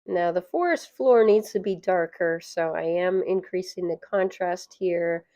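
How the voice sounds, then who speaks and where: A woman narrating a watercolor painting tutorial, hoarse and losing her voice, soft-spoken and chatty. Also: lowish voice, measured pace, no echo, highs cut off. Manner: soft-spoken, chatty, with fillers and light laughs. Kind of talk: relaxed voice-over tutorial.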